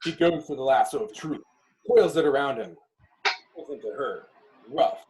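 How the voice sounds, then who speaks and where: A man reading screenplay directions as dramatic narration, in emphatic clipped phrases with pauses. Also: mid-range voice, expressive, darkish tone, low-quality recording.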